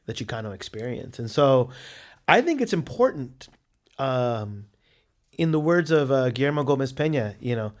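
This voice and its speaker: A man's voice: mid-range voice, big pitch swings, moderate pace. Earnest man, artist talking about his work. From San Francisco's Mission District. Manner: earnest, hesitant, many fillers and restarts. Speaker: artist, interview guest. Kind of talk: reflective interview answer.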